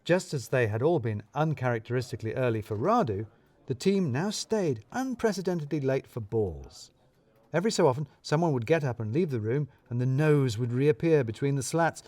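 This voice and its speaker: A man narrating a scripted comic mystery in the first person, wry and expressive. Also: mid-range voice, moderate pace, dry recording. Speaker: narrator of a serial mystery story.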